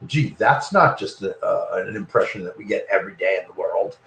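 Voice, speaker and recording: A man's voice: low voice, expressive, fairly quick, gravelly, a bit bright. A middle-aged man co-hosting a podcast, teasing and joking in casual banter. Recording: roomy echo.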